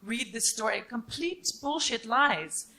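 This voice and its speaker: A woman's voice: fairly high pitch, lively intonation, measured pace, bright, forceful tone. Candid young woman from Norway. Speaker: student audience member asking a question. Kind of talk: unscripted question and comment at a debate.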